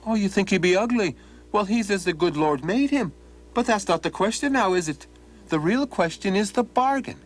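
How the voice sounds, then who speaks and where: A man's voice: high voice, expressive, moderate pace, voice pushed up. Man playing a plucky young farmhand, raised voice, sly and teasing, innocent air. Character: cheeky, clever young farmhand, full of pluck.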